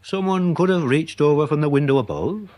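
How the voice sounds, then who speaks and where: An actor playing a polite, dry-witted English police sergeant with a deferential, pedantic manner, in a British radio drama. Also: male voice, a little high, fairly quick, darkish tone, lightly acted. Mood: deadpan, probing. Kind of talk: British radio comedy-mystery drama acting.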